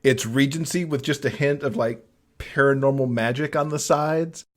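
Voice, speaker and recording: Man with mid-pitched voice, chatting casually. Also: normal speed. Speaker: podcast guest. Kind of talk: casual book recommendations.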